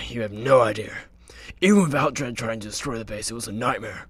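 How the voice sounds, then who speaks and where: A grumbling, deadpan marksman hero, played by a man in a comedy radio play. His mid-pitched voice is husky and creaky, with a sharp edge. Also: fairly quick, no echo, clean studio sound. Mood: exasperated. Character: male superhero marksman, exasperated and deadpan.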